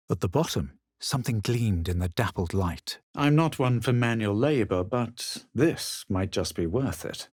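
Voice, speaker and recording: Video game hero, a self-assured, superior adventurer with a lowish voice, recorded dry and close. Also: male voice, no room sound. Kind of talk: video game character voice lines.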